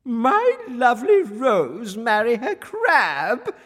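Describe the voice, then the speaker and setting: A woman acting an excitable, haughty wife and queen in a children's audio story, exclaiming theatrically with drawn-out words. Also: medium pitch, slightly rough, big-room sound, heavily acted. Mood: disgusted.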